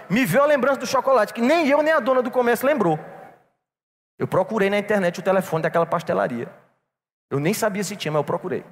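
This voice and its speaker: A man preaching a sermon to a church congregation, telling a personal story and calling them brothers. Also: sits high. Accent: non-local Brazilian. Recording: long reverb in a church hall.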